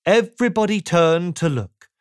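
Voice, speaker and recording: A man's voice: high voice, lively intonation, moderate pace, clean tone, dry recording, clean studio sound. A man narrating a children's storybook as an audiobook, in a playful way that invites the listener in. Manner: playful, asks the listener rhetorical questions.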